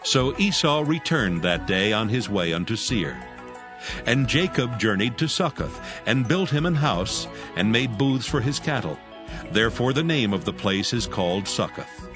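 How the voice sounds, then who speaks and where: A solemn male narrator reading scripture at a measured pace. A strong music bed sits under his voice. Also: medium pitch, expressive.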